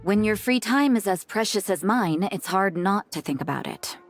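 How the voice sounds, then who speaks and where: A woman's voice: mid-range voice, animated, normal speed, faint music behind, close to an everyday voice. A woman playing a dutiful, overworked knightly commander in a video game, composed and matter-of-fact. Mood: weary.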